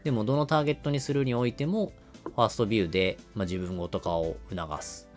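A man's voice: fairly low pitch, quick pace, faint music behind. A man explaining things step by step in a business podcast style, using everyday examples. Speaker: podcast host explaining marketing.

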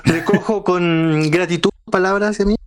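A man's voice: high-pitched, steady tone, moderate pace, slight background noise. A man co-hosting a casual podcast chat. He talks bluntly and dryly, swearing offhandedly. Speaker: podcast co-host.

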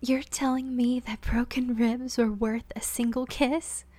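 A woman voicing a worried young maid who scolds her friend in a soft whisper. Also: a little high, flat delivery, unhurried.

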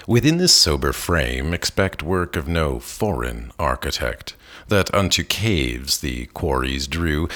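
A man reading a long seventeenth-century poem aloud in a slow, deliberate way, now and then correcting a slipped word. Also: a bass voice, a bit bright. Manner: deliberate, with occasional self-corrections. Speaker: poetry reader. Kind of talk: slow measured poetry reading.

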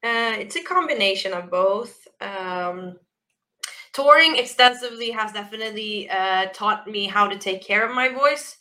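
Raspy, bright-voiced woman singer. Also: medium pitch, moderate pace. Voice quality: naturally raspy voice. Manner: chatty, laughing, many restarts and fillers. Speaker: rock band vocalist, guest. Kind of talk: casual interview chat.

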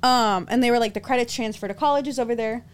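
A woman's voice: on the high side, fast, smooth. Young woman telling stories casually. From Los Angeles. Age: young adult. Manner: storytelling, frequent "like" fillers, laughs often. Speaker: guest. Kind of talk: casual podcast chat.

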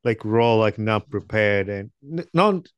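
A man's voice: on the low side, lively intonation, measured pace, clean tone, no room sound. Ugandan man hosting a podcast. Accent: Ugandan English. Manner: rambling, hedging with many "like"s. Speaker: podcast host. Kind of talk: casual interview chat.

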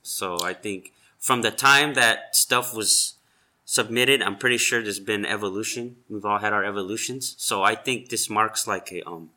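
A male chef in a relaxed chat who talks animatedly and rambles, with plenty of fillers. His voice sits low and comes through very bright and forward. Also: normal speed. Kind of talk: casual conversation.

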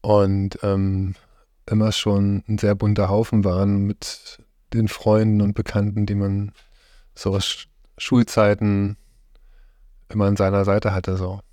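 A middle-aged man from Germany, interviewed about his life, speaks in a relaxed, conversational way, often hesitating and searching for his words. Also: fairly low pitch, a little slow, no room sound, studio quality. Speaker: interviewee, farm host.

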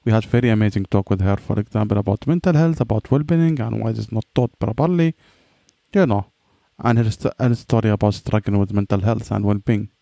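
A man's voice: fairly low pitch, mellow, dry recording. A man hosting a podcast, speaking casual English with loose grammar and interviewing a guest. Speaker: podcast host.

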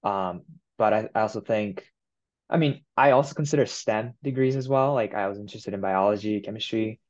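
A young man from Japan who speaks English as a second language, with a fairly low voice. He answers interview questions in an easygoing way, filling his pauses with "um" and "you know". The recording sounds muffled and lo-fi. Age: 20s. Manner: relaxed, many fillers like "you know" and "um". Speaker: guest. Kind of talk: casual interview.

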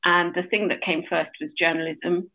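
A woman's voice: lowish voice, level tone, normal speed, pushed and bright, rough recording. A middle-aged woman speaking as a guest in a casual podcast interview, thoughtful, with fillers and self-corrections. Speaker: guest, emergency doctor and journalist.

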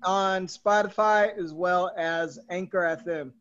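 A man's voice: high-pitched, fairly even intonation, takes it slowly, a bit bright. A man from the Bay Area, a podcast guest, earnestly describing his show. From San Francisco Bay Area. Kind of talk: casual chat. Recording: slightly muffled mic.

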